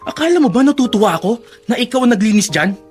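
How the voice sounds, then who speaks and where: Arrogant young rich son, Filipino accent, radio drama, scornful, commanding, spiteful, light music underneath. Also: male voice, very high voice, animated, quick pace. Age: young adult.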